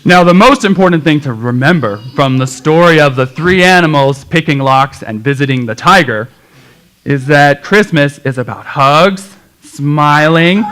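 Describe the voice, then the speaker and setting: Performer acting out children's puppet character, exaggerated, exclamatory, very lively pitch, unhurried pace. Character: puppet characters in a church Christmas show, exclaiming and scolding.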